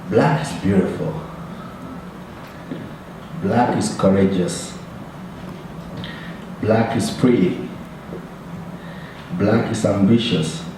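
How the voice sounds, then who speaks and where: A man in the audience asking the panel a question in a measured, deliberate way. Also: on the low side. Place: large assembly hall. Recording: echoey hall from far off, faint noise.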